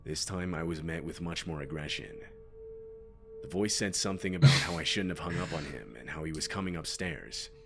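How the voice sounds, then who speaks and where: A man's voice: deep voice, fairly quick, vocal fry. A man reading scripted scary stories as a narrator, with music behind him. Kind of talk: scripted horror story narration. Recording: music bed under the voice. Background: background music.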